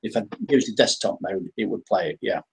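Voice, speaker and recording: A man's voice: lowish voice, a little fast, breathy edge, low-quality recording. An English man talking casually as the guest on a live-stream interview, often restarting and repeating his words. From England.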